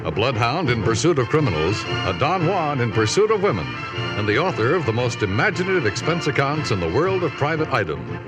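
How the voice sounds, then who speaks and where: A man's voice: mid-range voice, sing-song, a little fast. A man announcing a 1950s radio show from a script in a formal showman's delivery. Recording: 1950s radio broadcast recording. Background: loud music.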